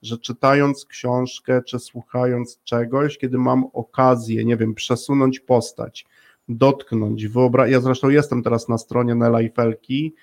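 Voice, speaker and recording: A man's voice: medium pitch, little pitch movement, unhurried, darkish tone. A man hosting a conversational interview podcast, speaking wordily and affirming his guests. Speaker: podcast host.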